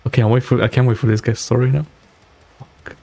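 A man's voice: mid-range voice, level tone, fast, gravelly, a little mellow. A young man giving casual, slangy live reaction commentary as a streamer, talking into a close mic. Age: young adult. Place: small home room, indoors. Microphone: close broadcast mic on boom arm. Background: music from the video being watched.

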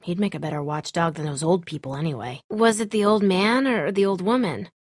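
A woman's voice: fairly low pitch, a little fast, dry recording, studio quality, lightly acted. A woman voicing a tough, sarcastic teenage girl in a zombie survival video game, blunt and snarky in a naturalistic way. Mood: sullen, snarky.

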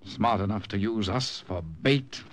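An actor playing an uneasy, loyal corporal in an old-time radio drama. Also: male voice, fairly low pitch, a little slow, natural voice. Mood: confiding. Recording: vintage radio drama with music.

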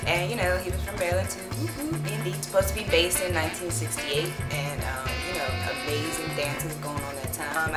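Low-voiced young American woman over loud music. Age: young adult. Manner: slangy, enthusiastic, expressive. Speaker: choreographer. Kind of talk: casual vlog talk to camera. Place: small room indoors. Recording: roomy sound with loud music behind.

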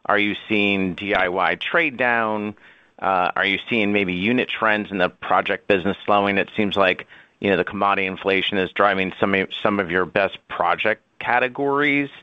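A man's voice: lowish voice, normal speed, sharp tone, smooth, dry recording. A man asking questions as a financial analyst on an earnings call, in a hesitant, hedging way. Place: conference call. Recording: dull, dry conference call audio.

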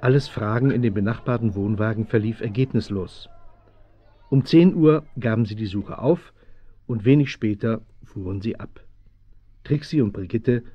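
A man as the measured, suspenseful narrator of a German children's radio play, with a husky voice. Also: on the low side, no room sound. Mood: storytelling. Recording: muffled 1970s radio play recording.

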